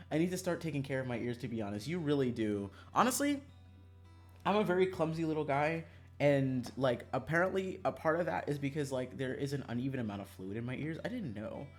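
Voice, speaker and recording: A man streaming a visual novel, chatting casually with viewers in an animated, sweary, emphatic way over background music. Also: medium pitch, fast talker.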